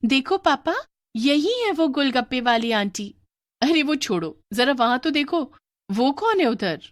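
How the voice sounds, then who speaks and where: A woman voicing a curious, scared young schoolgirl, talking high and excitedly. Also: unhurried, no echo, clean studio sound. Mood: frightened.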